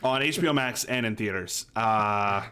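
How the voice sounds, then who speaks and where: A man's voice: fairly low pitch, moderate pace, pushed and bright, smooth. A young man co-hosting a podcast in a casual, conversational style. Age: young adult. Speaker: podcast co-host. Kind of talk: casual banter. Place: room at home, indoors.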